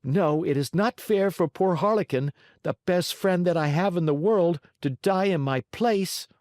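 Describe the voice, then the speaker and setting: A man voicing a brave, pleading little wooden boy puppet in a high, piercing voice. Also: a little slow, a little mellow, dry recording, clean studio sound.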